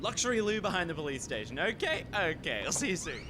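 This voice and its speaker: An excitable, gullible time-travelling accomplice acted by a man in a radio farce. His delivery leaps up and down, eager and easily flustered. The recording has clear noise and rumble behind him. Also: fast. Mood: excited, panicky. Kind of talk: radio play farce acting.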